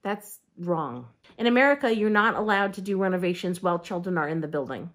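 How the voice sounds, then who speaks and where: A woman's voice: fairly low pitch, lively intonation, fairly quick, a bit bright. A middle-aged woman hosting a casual video-call interview and explaining things steadily and informatively, with the occasional "um". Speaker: show host. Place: indoor room against a plain backdrop.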